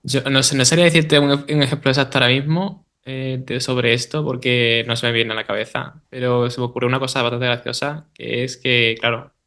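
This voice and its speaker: A man's voice: mid-range voice, level tone, fairly quick, few pauses, bright, forceful tone. A man on a casual podcast panel, speaking hesitantly and searching for his words.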